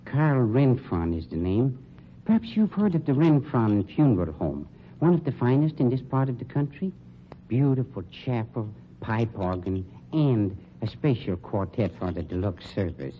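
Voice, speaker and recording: A man's voice: mid-range voice, sing-song, normal speed, lightly acted. Man acting a suave, menacing American undertaker, swooping delivery. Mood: smooth, probing. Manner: formal, pompous, coolly insinuating. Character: suave, menacing funeral director turned amateur detective. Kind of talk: old-time radio drama acting. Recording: dull, noisy old radio recording.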